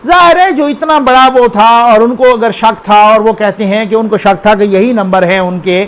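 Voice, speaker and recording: A man with a Pakistani accent and a notably high-pitched voice hosts an informal news commentary. He speaks off the cuff in a conversational way, often putting rhetorical questions to the viewer and checking that they follow.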